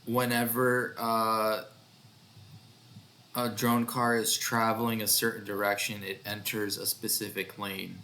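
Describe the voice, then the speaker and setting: A man narrates a casual walkthrough of his own project in a lowish but bright, sharp-edged voice. He takes his time, hesitating and thinking aloud as he goes. There is a little background noise on the recording. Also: level tone, measured pace. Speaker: developer presenting own project.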